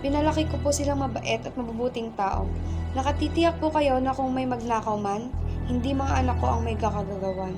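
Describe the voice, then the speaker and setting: A woman's voice: high-pitched, brisk, music in the background. A woman playing a humble, meek but steadfast mother in a radio play, speaking deferentially and pleadingly. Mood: polite, earnest. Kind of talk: radio play acting in Filipino.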